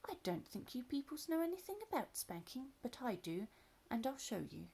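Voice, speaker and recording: A woman's voice: fairly high pitch, sing-song, normal speed, gravelly, mellow, breathy edge, voice pushed up, exaggerated voice. A woman doing an eager young elephant calf. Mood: cheeky. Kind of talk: storybook read-aloud.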